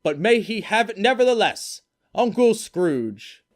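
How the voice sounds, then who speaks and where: A hearty young man, voiced by a male reader, raises a cheerful toast in a high, sing-song voice. His pitch climbs and dips all over the place, in a storybook reading. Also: very animated, measured pace. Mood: merry. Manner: lilting, toasting tone.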